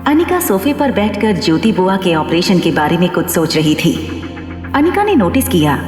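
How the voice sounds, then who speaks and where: A woman's voice: fairly low pitch, sing-song, moderate pace, darkish tone, faint music behind. A woman narrating a scripted dramatic audio story. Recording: echoey long reverb.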